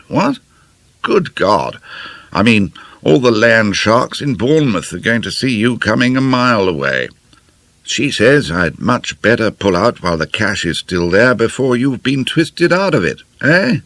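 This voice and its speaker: A man voicing a loud, silly upper-class Englishman who keeps tacking "what?" onto his sentences. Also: lowish voice, vocal fry, dry recording, voice pushed up, exaggerated voice. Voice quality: braying, ends lines with "what?".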